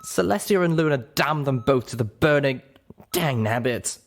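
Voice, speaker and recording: A folksy, hot-tempered cowboy pony, acted out by a man, upset and exclamatory. The pitch is pushed up and swings freely, as in an animated audiobook reading of a fantasy tale. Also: moderate pace, natural voice. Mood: outraged. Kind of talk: audiobook character voice in storybook reading.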